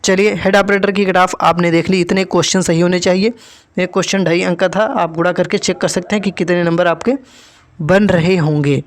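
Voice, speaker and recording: High-pitched man explaining to students. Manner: repetitive, instructive, with frequent check-in tags. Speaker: exam-prep YouTube presenter. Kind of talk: informal explanatory update to students.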